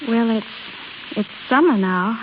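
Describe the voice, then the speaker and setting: An actress playing a wistful, coy young American office girl in old radio drama style, with hesitant, halting delivery. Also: fairly high pitch, expressive. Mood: wistful, nervous. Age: young adult. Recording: tinny, hissy old radio recording.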